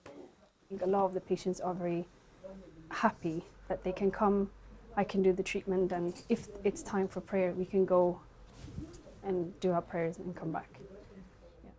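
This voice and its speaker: A woman in her twenties, raised in Sweden, who works as a dentist. She speaks softly and reflectively in a documentary interview, with frequent fillers, at her dental practice. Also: on the low side, little pitch movement, normal speed, long pauses, low-quality recording. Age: 20s.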